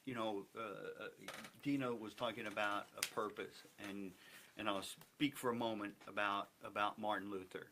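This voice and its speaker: A man's voice: on the low side, fairly even intonation, moderate pace, bright, forceful tone, slight background noise. A man speaking in an earnest, emphatic and persuasive way, as a stage performer promoting a show in a group interview. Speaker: stage play cast member promoting the show.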